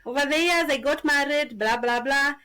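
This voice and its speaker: A woman in her thirties from Kenya with a Kenyan accent, a podcast guest telling her story in an animated, casual way. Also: high-pitched, normal speed. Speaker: podcast guest, business founder.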